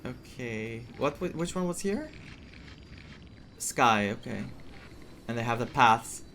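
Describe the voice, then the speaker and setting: A man's voice: mid-range voice, expressive, slow pace, a bit bright, a little hiss or hum. A man who is a native Greek speaker doing casual playthrough commentary, reacting aloud and explaining words as he reads them. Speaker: gaming streamer. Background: game music.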